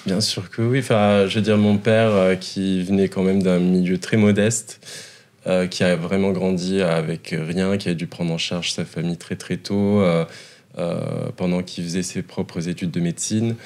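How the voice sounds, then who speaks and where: Deep voiced guy from morocco, guest on a podcast, lots of euhs and laughing. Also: level tone, normal speed, a little mellow. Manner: hesitant, many fillers, laughs often. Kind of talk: casual interview.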